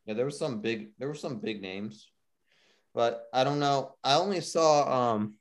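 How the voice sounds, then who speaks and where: A man's voice: medium pitch, measured pace. A man co-hosting a casual podcast chat, rambling with lots of fillers and restarts. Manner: rambling, filler-heavy, repeats himself. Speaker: podcast co-host.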